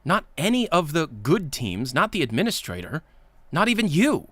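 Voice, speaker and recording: A man voicing a folksy, weary, reproachful male engineer with measured pauses. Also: fairly high pitch, huge pitch swings, fairly bright tone, dry recording, pitched above the performer's own voice, exaggerated voice.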